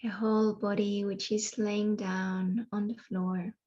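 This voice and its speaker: Mellow, even-toned woman guiding meditation. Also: mid-range voice, muffled recording. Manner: soothing, unhurried phrasing with long pauses. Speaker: yoga nidra guide. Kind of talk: guided meditation.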